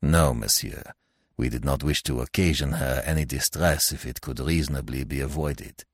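A man voicing a courteous French examining magistrate in an audiobook mystery, speaking in a formal, deliberate, polite way. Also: rumbling low, husky, dry recording, clean studio sound, exaggerated voice. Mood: polite, restrained. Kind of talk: acted dialogue in audiobook mystery.

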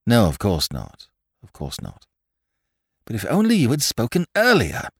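An earnest male detective from a novel , as a man reading aloud acts him. He is protective and distressed, pleading with formal courtesy and emphatic repetition. The delivery is very varied in pitch, in a dry space. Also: huge pitch swings, unhurried, husky, no room sound, exaggerated voice. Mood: reassuring.

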